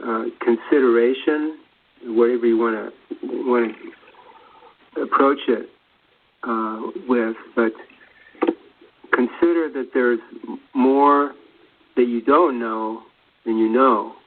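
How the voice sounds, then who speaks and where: A man talks through spiritual ideas in an even, flat tone, hesitating and circling back on his phrases. His voice comes through thin, as if over a telephone. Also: medium pitch, takes it slowly, long pauses. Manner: hesitant, searching for words, repeats himself. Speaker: guest commentator. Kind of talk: rambling spiritual discussion. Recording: thin phone-line radio audio.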